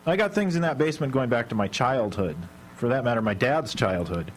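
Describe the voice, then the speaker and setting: A man's voice: mid-range voice, expressive, fairly quick, natural voice. A man playing an earnest, aggrieved middle-aged husband in a live radio drama. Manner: earnest, defensive. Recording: live radio broadcast with hum.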